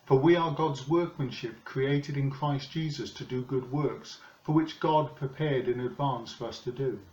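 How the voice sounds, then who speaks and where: An older British man preaches in a calm, measured, earnest way, seated at home in front of a camera. His voice has a middle pitch, the room sounds hollow and echoey, and the recording is a little dull. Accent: British English. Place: living room, indoors. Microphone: camera in front of him, seated.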